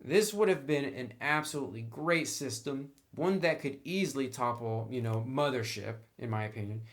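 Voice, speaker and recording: A man's voice: medium pitch, normal speed. A man giving a conversational review and explanation, stressing key words emphatically by drawing them out. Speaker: tabletop game reviewer.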